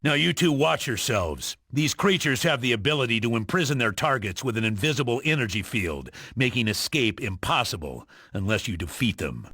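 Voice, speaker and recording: Serious male agency chief for a game, authoritative and formal, with dramatic pitch movement. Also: sing-song, normal speed, few pauses, sharp tone, breathy edge, no echo, studio quality, full character voice. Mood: grave briefing. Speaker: mission commander. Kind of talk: video game voice acting.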